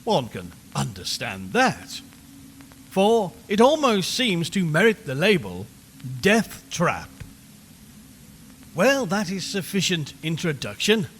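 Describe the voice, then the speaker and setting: Man playing high-pitched, theatrical old-fashioned radio reporter. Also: huge pitch swings, a bit bright, exaggerated voice. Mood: wry, businesslike. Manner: theatrical, formal, deliberate storytelling. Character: earnest, showy male radio reporter hosting a ghost hunt. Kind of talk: old-fashioned scripted radio drama narration. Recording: long reverberant hall, faint noise.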